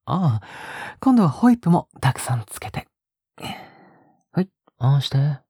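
A male actor playing a possessive young captor in an intimate audio drama, coaxing softly and often slipping into a whisper. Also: a little high, big pitch swings, a little slow, no echo, studio quality, heavily acted. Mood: doting, obsessive. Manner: soft, coaxing, often dropping to a breathy whisper. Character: possessive, sickly-sweet young male captor doting on a pet-like girl. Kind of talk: intimate audio drama acting, spoken to the listener.

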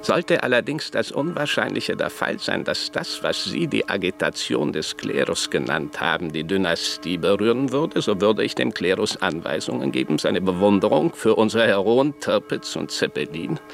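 Haughty, creaky-voiced archbishop, very animated. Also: male voice, lowish voice, normal speed, hardly any pauses, soft background music, heavily acted. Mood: guarded, conceding. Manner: declamatory, formal, pointed. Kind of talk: German radio play acting.

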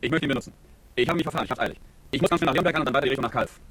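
An actor playing a powerful, hurried motorist in a radio drama, curt and insistent, with a husky voice. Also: male voice, medium pitch, fairly bright tone. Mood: hurried, pressing. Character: powerful, pushy, hurried male driver on the run. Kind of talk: German radio drama dialogue.

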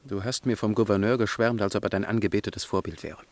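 A rather low-pitched conspirator played by a man in a radio drama. He is sceptical and impatient, with a terse, challenging way of pressing his point. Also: steady tone, quick pace, dry recording, lightly acted. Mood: sardonic. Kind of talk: dramatic radio play dialogue.

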